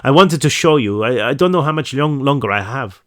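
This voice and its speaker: A man's voice: medium pitch, expressive, a little fast, pitched above the performer's own voice, lightly acted. A man voicing a grave, shaken chief pathologist in a crime story, with an attempted Swedish accent and a hesitant manner. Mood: uneasy, grave.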